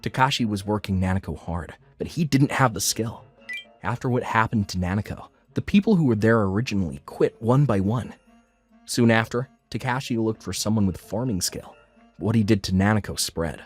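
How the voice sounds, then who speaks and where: Give this to a man playing an earnest diner owner telling his own story. His voice sits fairly low, and he reads as a scripted manga-dub narrator. Soft music runs underneath. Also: lively intonation, moderate pace, breathy edge. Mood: matter-of-fact.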